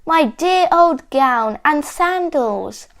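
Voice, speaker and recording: Woman voicing a kind, excitable storybook giant, high and sing-song. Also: slow pace. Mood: delighted.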